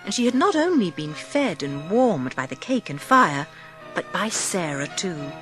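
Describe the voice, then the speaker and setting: A woman reading scripted storybook narration in an expressive, measured way. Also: lowish voice, over music. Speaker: audiobook narrator.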